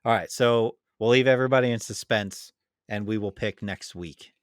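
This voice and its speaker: A man with a slightly low voice chats casually as a podcast co-host. He comes across as agreeable, in a close, dry recording with very little room sound. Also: normal speed, no echo. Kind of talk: casual chat.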